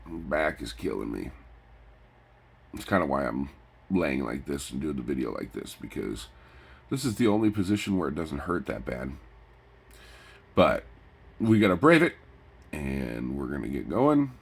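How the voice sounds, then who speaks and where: A man with a stuffy nose chats casually in a personal vlog, rambling and halting, often repeating his phrases. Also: very deep voice, big pitch swings, gravelly, husky. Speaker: vlogger. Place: recorded lying down.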